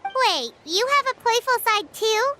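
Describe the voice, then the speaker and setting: A woman voicing a tiny, childlike, curious and excitable fairy companion in a video game, with a squeaky voice. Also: a little slow, slight background noise, full character voice. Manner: refers to self in third person, exclamatory.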